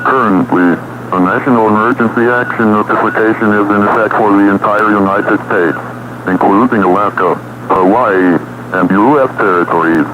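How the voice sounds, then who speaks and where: A man playing an impersonal official weather radio announcer, reading a scripted emergency broadcast in a formal, flat delivery. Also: fairly low pitch, natural voice. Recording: dull, very noisy radio broadcast.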